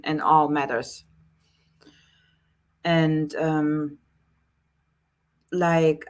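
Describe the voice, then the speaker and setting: A woman from Germany with a German accent, hosting a casual live question-and-answer stream, greeting viewers by name and talking in an unhurried way with many fillers. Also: deep voice. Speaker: live stream host, spiritual healer. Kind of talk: casual live Q&A, reading chat comments.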